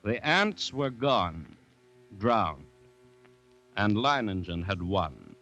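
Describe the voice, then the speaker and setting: An actor playing a grave commissioner who witnessed events, telling the tale in a measured, solemn voice in 1940s radio drama style. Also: male voice, on the low side, big pitch swings, full character voice. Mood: solemn, relieved. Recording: dull vintage radio recording. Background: music.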